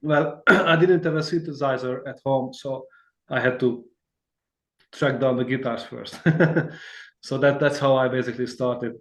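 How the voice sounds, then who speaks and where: Man, mid pitch, easygoing and rambling guest, echoey room. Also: steady tone, unhurried, a bit bright. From Hungary. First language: Hungarian. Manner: rambling and friendly, many fillers and restarts, laughs easily. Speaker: guitarist, interview guest. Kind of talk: casual interview chat.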